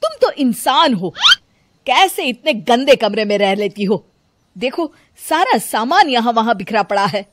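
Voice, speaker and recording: A woman's voice: a little high, big pitch swings, measured pace, heavy background noise. A woman voicing an exasperated young husband scolding his wife. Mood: fed up. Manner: scolding, fed up.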